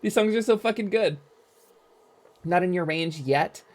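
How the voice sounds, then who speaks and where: A young adult woman streaming a game, chatting casually and excitably, swearing freely. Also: on the low side, unhurried.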